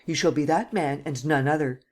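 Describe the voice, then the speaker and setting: A woman's voice: sits low, a little fast, a little mellow. A woman reader voicing a ruthless, commanding nobleman who speaks with vehemence. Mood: imperious. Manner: vehement, imperious.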